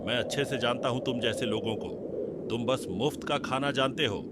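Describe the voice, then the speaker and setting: A man's voice: mid-range voice, a little fast, close to an everyday voice. A man voicing a stingy, bullying shop boss who scolds and accuses, in a cartoon moral story. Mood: angry, accusing. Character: stingy, bullying male tea-shop boss, quick to anger. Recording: loud noise behind the voice.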